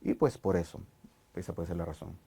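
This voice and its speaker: A man from Ecuador with an Ecuadorian highland accent, a Spanish teacher chatting on a podcast, thoughtful and hesitant with many fillers. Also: a little fast, gravelly, mellow, slightly rough. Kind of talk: casual podcast chat.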